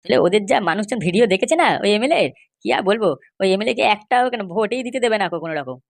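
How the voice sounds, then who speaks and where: A woman voicing a flattering, spiteful political sidekick in a comedy cartoon, fawning and cocky. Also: medium pitch, rapid-fire, vocal fry.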